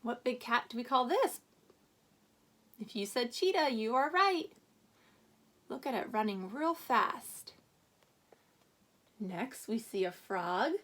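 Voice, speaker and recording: A woman speaks as a teacher to young children in a reading lesson. Her voice sits somewhat high and swings widely in pitch. She instructs step by step, prompting with questions and stressing words expressively. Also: lively intonation, slow pace, long pauses, fairly bright tone. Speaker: first-grade teacher.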